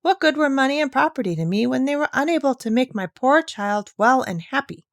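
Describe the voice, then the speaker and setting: A woman's voice: high-pitched, animated, a little fast, no echo, voice pushed up. Woman acting grateful father, courteous, earnest, formal, storybook read-aloud, dry. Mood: heartfelt gratitude. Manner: formal, heartfelt.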